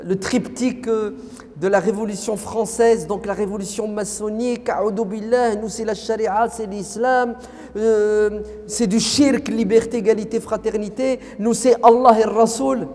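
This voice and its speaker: A preacher born in France with Berber as his first language, giving a lively lecture sermon and firing rhetorical questions at the audience. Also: high voice, normal speed. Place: lecture hall of an Islamic centre. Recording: long hall reverb.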